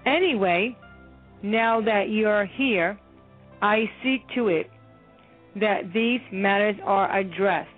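Woman voicing a measured school counselor, slow and calm, phone-line sound, faint music behind. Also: medium pitch, lightly acted. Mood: concerned.

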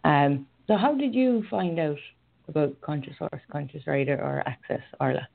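Irish woman host, hesitant and filler-heavy, gravelly monotone, over a tinny call. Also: low-pitched, normal speed. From Ireland. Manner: hesitant, many fillers and restarts. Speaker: radio show host and horse rider. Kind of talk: casual online radio chat. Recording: phone-line call audio.

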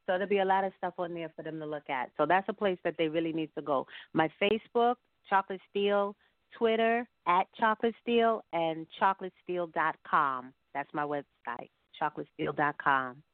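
A woman's voice: lowish voice, moderate pace, dry recording. A woman speaking casually as a musical guest in an interview. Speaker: singer being interviewed as guest. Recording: muffled phone-like line.

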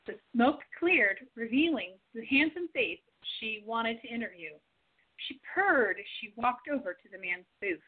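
Female narrator, reads scene directions, slightly high voice, phone-quality sound. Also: animated, normal speed, slightly rough. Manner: reading stage directions aloud. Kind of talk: scripted radio play narration. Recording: tinny phone-like recording.

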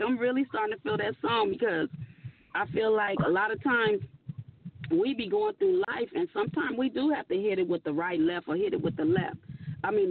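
A hoarse woman co-hosting a casual radio talk show, earnest and animated, laughing often. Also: a little high, a little hiss or hum. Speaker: co-host. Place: radio studio. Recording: tinny phone-like radio audio.

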